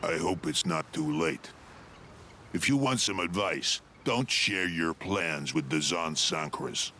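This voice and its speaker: An actor playing a proud, bitter alien tribesman with a grave, forceful way of talking, in a video game. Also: low voice, expressive, takes it slowly, slight background noise, heavily acted. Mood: bitter, accusing. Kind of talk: video game cutscene acting.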